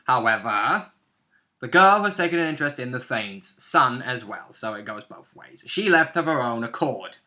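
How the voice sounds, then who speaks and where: A man's voice: medium pitch, animated, moderate pace, pushed and bright. A young man talking casually as a player in a tabletop role-playing game. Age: young adult. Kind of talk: casual chat. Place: small room at home, indoors.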